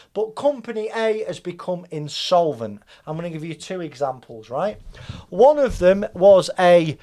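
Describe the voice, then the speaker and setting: A man's voice: high voice, darkish tone. A British man presenting business advice in an informal, conversational explainer style, often checking in with the listener. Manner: conversational, checks in with "right?" and "okay?".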